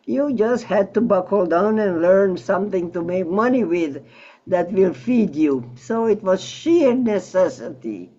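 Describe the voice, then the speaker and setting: Slow-talking elderly woman, halting and reflective. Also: lowish voice, mellow, dull audio. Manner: halting, reflective, searching for words. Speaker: Holocaust survivor interviewee. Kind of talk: personal recollection in an interview. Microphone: video call.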